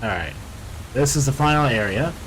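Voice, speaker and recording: A man's voice: medium pitch, expressive, moderate pace, a bit bright, slight background noise, low-quality recording. A man giving casual, offhand gameplay commentary, muttering and swearing as he goes. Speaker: gameplay video creator.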